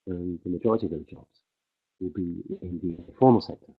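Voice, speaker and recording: A man interpreting a conference speech on the fly, halting often with fillers as he keeps up with the speaker. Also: low-pitched, breathy edge. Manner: halting, many fillers and restarts while keeping pace with the speaker. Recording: tinny phone-like livestream audio.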